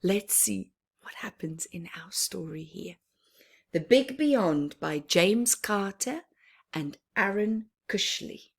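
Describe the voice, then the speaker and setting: Woman, children's story time reader, very slow pace, medium pitch, expressive phrasing, pauses, hushed dips. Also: slightly rough. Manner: deliberate phrasing with pauses, often dropping to a hushed near-whisper. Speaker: children's story time host reading a picture book aloud. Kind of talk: expressive read-aloud for young children, with chatty asides.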